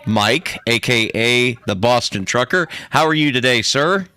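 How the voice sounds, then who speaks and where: A man's voice: mid-range voice, animated, a little slow, bright, forceful tone, smooth, dry recording. A man co-hosting a podcast with casual comedic banter, crude and joking. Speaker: podcast co-host.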